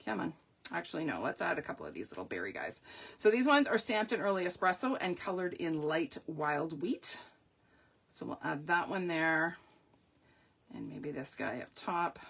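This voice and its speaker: A woman demonstrates card making on a live stream, explaining each step as she works and chatting with viewers. Her voice is fairly low and husky, with a slight croak. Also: a bit bright. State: voice going croaky. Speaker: papercraft tutorial host.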